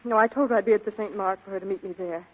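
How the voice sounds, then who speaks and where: A woman acts an anxious young client in a vintage radio play. She speaks quickly and earnestly, with pleading hesitations. The sound is thin and tinny. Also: mid-range voice, lightly acted. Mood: distressed, pleading. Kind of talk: 1940s radio drama acting. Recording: tinny band-limited vintage radio broadcast.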